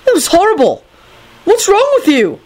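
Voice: high voice, big pitch swings, fairly quick, voice pushed up. A reader voicing an exasperated, sarcastic young male wizard in a storybook, scolding and emphatic. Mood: indignant.

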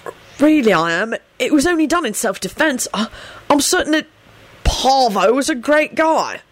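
A woman's voice: fairly low pitch, sing-song, moderate pace, dry recording. A woman voicing a defiant, sweary, earnest young male wizard with a blunt, persuasive way of talking, in an audiobook story.

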